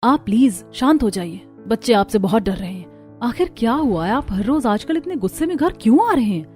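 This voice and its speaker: Woman playing a gentle, high-voiced young housewife. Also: animated, fairly quick, faint music behind, lightly acted. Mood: pleading. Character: kind, polite young housewife.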